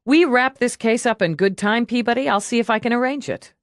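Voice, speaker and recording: A woman's voice: fairly high pitch, a little fast, no room sound, studio quality, pitched above the performer's own voice, close to an everyday voice. A woman voicing a brusque, sardonic female police lieutenant in an audiobook, giving blunt orders. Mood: businesslike. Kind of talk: audiobook character dialogue.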